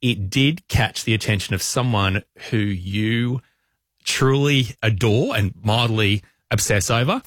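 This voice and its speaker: A man's voice: lowish voice, unhurried, fairly bright tone, no echo, studio quality. A man filling in as radio co-host, joking in a stammering, mock-solemn way. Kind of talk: casual radio banter.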